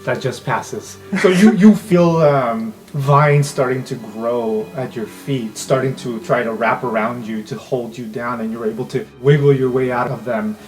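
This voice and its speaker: Male game master narrating over soft music. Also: medium pitch, unhurried, hardly any pauses, poor audio. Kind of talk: improvised tabletop game narration.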